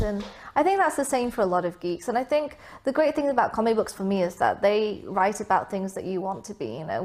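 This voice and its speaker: A woman's voice: medium pitch, a little mellow. A young woman from Vietnam, raised abroad, answering interview questions in a chatty, casual way with many fillers and asides.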